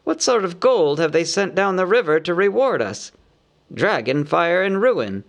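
Male reader voicing a crafty old town master: gravelly, indignant, orating loudly. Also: a little high, big pitch swings, normal speed, pitched above the performer's own voice. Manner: indignant, rhetorical oratory.

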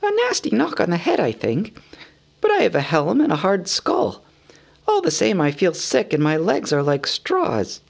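A man reading an audiobook, voicing a small fussy male hobbit who is dazed and aching, in a high thin, breathless voice. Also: wildly swooping pitch, moderate pace, gravelly, full character voice. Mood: weary, wry. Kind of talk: audiobook character voice.

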